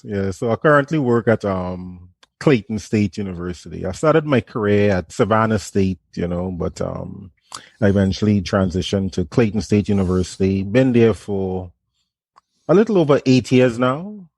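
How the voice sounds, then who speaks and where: A man from Trinidad and Tobago with a Trinidadian accent, a management professor speaking softly and in a friendly way as a radio guest. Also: on the low side, lively intonation, moderate pace, no room sound. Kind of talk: radio interview.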